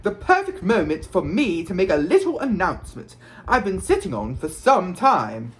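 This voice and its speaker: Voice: medium pitch, huge pitch swings, a little slow, voice pushed up, rough recording. A narrator voicing a vain, showy male celebrity wizard author in a grandiose, theatrical, projecting manner. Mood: pompous announcing.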